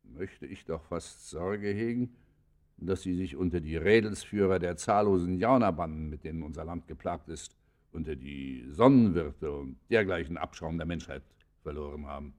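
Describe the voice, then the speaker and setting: Male actor doing stern judge in old-style radio play, deep voice, formal literary admonishing, some pity. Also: moderate pace, a little mellow, close to an everyday voice. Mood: reproachful. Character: stern, pitying male judge questioning a criminal. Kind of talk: German classic radio play acting.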